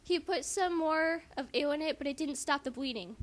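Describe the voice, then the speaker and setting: A woman's voice: high-pitched, moderate pace. A woman giving a theatrically emphatic amateur reading, breaking off for squeamish, casual asides. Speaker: co-reader and commentator. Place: at home in a house.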